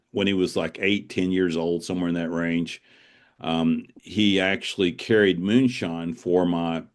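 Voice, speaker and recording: A middle-aged or older man talking casually as an author being interviewed, in a reflective, halting, rambling way. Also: sits low. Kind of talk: casual conversational interview, storytelling.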